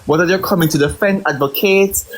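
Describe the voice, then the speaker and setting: A man's voice: high voice, fairly quick, fairly bright tone. A man with a Jamaican accent, hosting a livestream and answering critics with emphatic, repeated phrasing. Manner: emphatic, repeats words when stressing a point. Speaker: livestream host. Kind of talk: heated livestream discussion.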